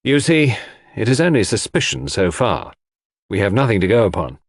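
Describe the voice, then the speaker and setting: A man voicing a weary, anxious upper-class country gentleman in an audiobook, polite and hesitant. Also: lowish voice, very animated, normal speed, dry recording, studio quality. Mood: weary, worried. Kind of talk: audiobook character acting.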